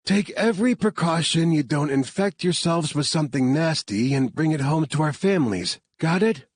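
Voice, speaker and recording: A woman's voice: deep voice, expressive, normal speed, a little mellow, slightly rough, no room sound, pitched above the performer's own voice. A man doing a commanding woman team leader.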